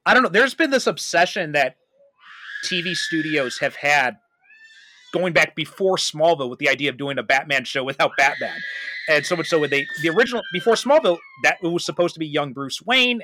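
A man's voice: fairly high pitch, lively intonation, a little fast, background noise. A man hosting a podcast, talking casually in a pop-culture discussion. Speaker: podcast host.

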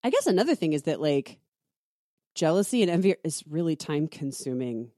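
A woman's voice: low-pitched, sing-song, brisk, dark tone, dry recording, clean studio sound. A woman chatting casually in an interview, with a self-deprecating, easily amused way of talking. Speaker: writer being interviewed. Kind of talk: casual chat.

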